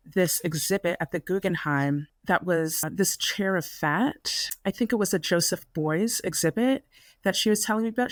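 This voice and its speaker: A woman's voice: lowish voice, dry recording. Chatty woman guest, dry sound. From Florida. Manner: chatty, many "like" fillers. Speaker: artist guest. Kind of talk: casual interview conversation.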